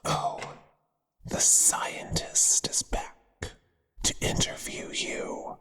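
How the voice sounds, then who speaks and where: A performer voicing a possessive male spider monster, a devoted but menacing lover, in a hushed ASMR whisper with a crooning, deliberate delivery. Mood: tender, coaxing. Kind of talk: whispered ASMR roleplay acting. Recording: long reverberant echo.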